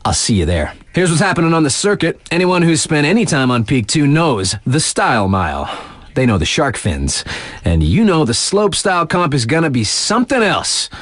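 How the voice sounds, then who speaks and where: A man's voice: fairly high pitch, big pitch swings, moderate pace, a bit bright, no echo. An actor playing a laid-back, hip male radio DJ in a video game, delivering scripted snowboard news with casual, slangy asides. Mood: upbeat hype. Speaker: radio DJ announcer.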